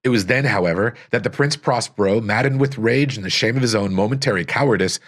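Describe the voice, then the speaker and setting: A male audiobook narrator with a fairly low voice reads a gothic tale. His delivery is scripted, solemn and dramatic, with deliberate phrasing. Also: fairly bright tone.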